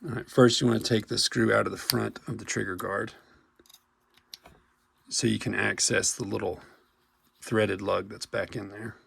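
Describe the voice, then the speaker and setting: A man with a cold, hoarse as he walks through a repair step by step in a casual, halting way with many fillers and restarts. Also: fairly low pitch, steady tone, a bit bright, slight background noise. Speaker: paintball marker technician. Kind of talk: hands-on repair tutorial.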